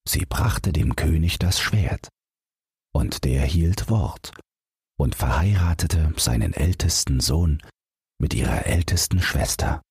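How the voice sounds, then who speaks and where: Super low gravelly guy reading a bedtime story, slow n measured, husky. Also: lively intonation, a little mellow, no echo, clean studio sound. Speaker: audiobook narrator. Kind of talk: scripted bedtime fairy tale narration.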